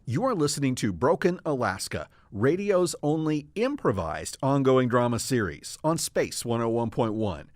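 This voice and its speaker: A man announces and narrates a radio drama serial. His medium-pitched voice is animated, moving freely up and down, and recorded close and dry. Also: moderate pace, few pauses, no room sound.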